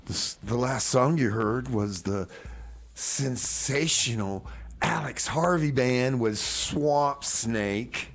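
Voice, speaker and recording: A man's voice: medium pitch, takes it slowly. A man playing a rambling, easily sidetracked music radio host in casual comic banter.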